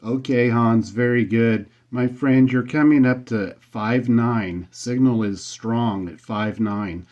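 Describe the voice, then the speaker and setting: A man making an amateur radio contact, speaking deliberately and spelling things out letter by letter and number by number. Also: mid-range voice, fairly even intonation, a little mellow. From United States. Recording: slightly dull, band-limited sound.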